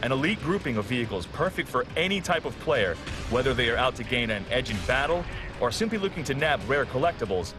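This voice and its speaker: Male, game tutorial narrator: polished, scripted, expressive intonation, over music. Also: mid-range voice, quick pace. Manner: polished, instructional delivery. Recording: music behind the voice. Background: background music.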